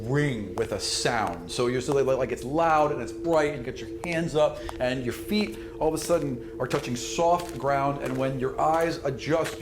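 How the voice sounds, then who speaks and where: A man runs a tabletop role-playing game, describing scenes off the cuff in a conversational way. His pitch is middling, and there is faint noise in the recording. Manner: conversational, descriptive, swears casually. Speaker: game master. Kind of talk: improvised tabletop game narration.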